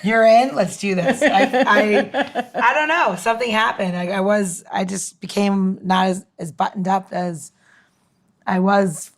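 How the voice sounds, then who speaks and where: Woman co-host, blunt and candid, laughs a lot, mid-pitched. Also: steady tone, a little slow. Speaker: podcast co-host. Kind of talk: casual chat.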